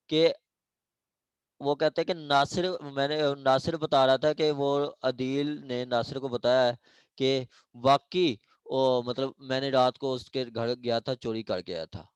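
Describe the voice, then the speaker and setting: A man's voice: medium pitch, clean tone, dry recording. A man with a Pakistani accent giving an informal law lecture, explaining with examples, often hesitating and checking that listeners follow. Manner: repeats check phrases, hesitant, reads legal text slowly in English.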